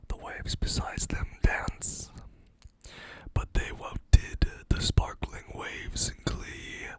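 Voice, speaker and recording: An ASMR host whispering poems into a close mic, reading slowly with chatty asides, voice a little hoarse. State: slightly hoarse, losing voice.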